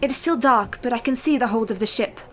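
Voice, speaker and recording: An actress playing a brave, entranced Victorian wife under a curse in a radio play, speaking in a formal, measured way. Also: female voice, on the high side, natural voice. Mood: hushed, searching.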